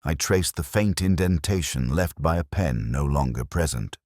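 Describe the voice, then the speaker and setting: A man narrating a scripted first-person detective story in a measured, solemn, literary manner. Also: extremely low pitch, animated, a little mellow, no room sound, studio quality.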